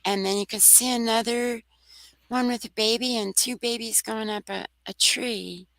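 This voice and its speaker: A soft-voiced woman, a guest on a talk radio show, telling a personal story in an earnest, rambling way. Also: a little high, fairly even intonation, moderate pace, gravelly, a little hiss or hum, low-quality recording. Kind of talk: casual storytelling.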